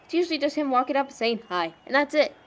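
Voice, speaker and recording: A woman's voice: high-pitched, expressive, quick pace. A young woman playing a blunt, sardonic teenage churchgoing girl in an amateur audio drama, frank and slangy. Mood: indignant.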